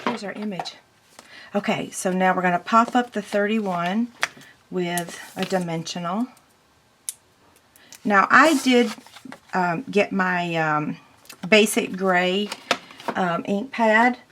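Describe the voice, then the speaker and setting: A woman demonstrating paper crafts in a casual tutorial, her voice squeaky from strain, chatting through each step with ums. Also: slow pace, long pauses, fairly bright tone, a little hiss or hum.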